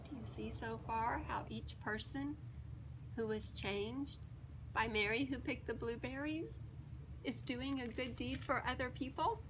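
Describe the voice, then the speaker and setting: A woman reading a children's picture book aloud in an expressive, theatrical way, with emphatic words and dramatic pauses. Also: fairly high pitch, noisy background.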